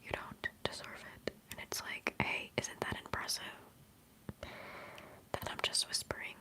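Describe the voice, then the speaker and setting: A woman in her twenties whispering through a relaxed livestream chat, rambling and giggling between thoughts as an ASMR streamer. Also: measured pace. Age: 20s. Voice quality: soft whisper.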